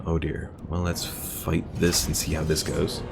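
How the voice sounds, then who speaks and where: A man's voice: rumbling low, completely flat delivery, a little slow, vocal fry, music in the background. A man casually commentating his strategy game on a live stream, thinking aloud, reading out stats and chat comments with plenty of fillers.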